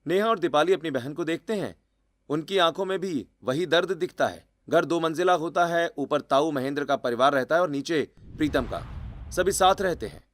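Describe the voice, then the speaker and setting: A man's voice: on the high side, normal speed, no echo. A man reading out scripted story narration.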